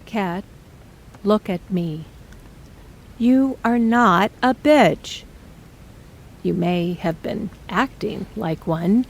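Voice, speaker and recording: A woman voicing a reassuring middle-aged mother in an audio drama, speaking gently and measured. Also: medium pitch, gravelly, breathy edge, heavily acted. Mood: soothing.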